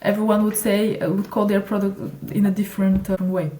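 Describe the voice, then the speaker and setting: A woman's voice: mid-range voice, flat delivery, brisk, dark tone. A woman whose first language is Bulgarian, a marketing consultant answering interview questions with frequent fillers and restarts. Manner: hesitant, many fillers and restarts. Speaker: digital marketing consultant, guest. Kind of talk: conversational interview. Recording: echoey room.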